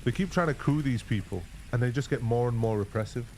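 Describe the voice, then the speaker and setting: A man's voice: medium pitch, fast talker, a little mellow, a little hiss or hum, rough recording. A man who is a guest on a stream, bantering playfully and teasing the host. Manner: playful, teasing, calls people bro and guys. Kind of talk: casual banter.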